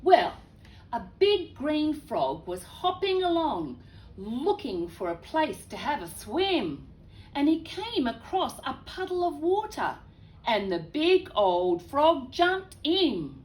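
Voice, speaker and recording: A woman reading a children's story aloud in an expressive way, with chatty, exclaiming asides to young listeners. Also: high voice, slow pace, low-quality recording.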